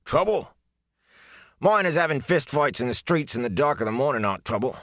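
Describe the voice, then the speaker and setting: A man's voice: medium pitch, sing-song, a little fast, fairly bright tone, dry recording, studio quality, voice pushed up. Man doing a mumbly, abrupt bathhouse attendant. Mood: blurting. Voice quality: mumbly, as if with a mouthful of mush. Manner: abrupt, scornful. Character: gruff young male bathhouse attendant, curt, blurting.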